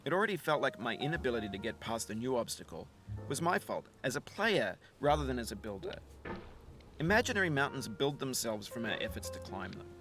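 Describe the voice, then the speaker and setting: A man giving calm, thoughtful scripted narration, measured and reflective. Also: medium pitch. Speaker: game narrator. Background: music.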